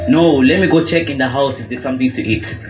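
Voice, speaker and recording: A man's voice: mid-range voice, fast, highs cut off, faint music behind, lightly acted. A man playing a sly host making excuses. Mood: sheepish. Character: sly young man testing a holy man. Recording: echoey room with clear background noise.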